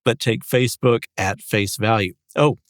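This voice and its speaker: A man's voice: fairly low pitch, steady tone, moderate pace, no echo, clean studio sound. A man co-hosting a podcast, talking in a casual, conversational style with his partner. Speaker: podcast co-host.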